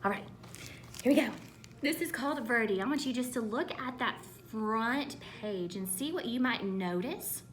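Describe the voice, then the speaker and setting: Animated, bright, high-voiced woman teaching children. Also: slight background noise. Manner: animated, instructive, asks listeners questions. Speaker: elementary school teacher. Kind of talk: read-aloud lesson for children.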